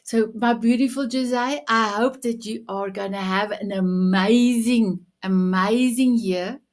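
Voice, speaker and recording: A woman's voice: a little high, slow pace. A woman whose first language is Afrikaans, hosting a live-streamed motivational show in an upbeat, affirming way, full of endearments. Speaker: host.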